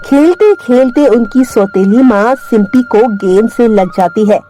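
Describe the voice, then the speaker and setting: A woman reads a children's tale in scripted storytelling style. Her voice is fairly high and soft-edged, mellow throughout, with music playing underneath.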